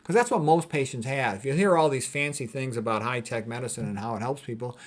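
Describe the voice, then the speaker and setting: A man's voice: mid-range voice, a little fast. A man giving an informal health talk as a presenter, explaining and commenting on quotes in a plain-spoken, colloquial way. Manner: plain-spoken, colloquial, often adding "okay" and "you know". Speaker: physician presenter of health talks.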